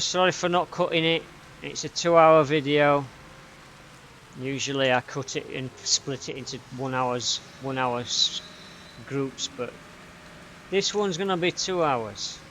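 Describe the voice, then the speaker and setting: A man's voice: on the high side, slow pace, pauses often, a bit bright, a little hiss or hum, rough recording. A man with a Yorkshire accent chatting casually while playing a farming game, rambling and often tagging on "right?". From Yorkshire, England. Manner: chatty, rambling, tag questions. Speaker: gaming YouTuber. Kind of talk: casual rambling commentary over gameplay.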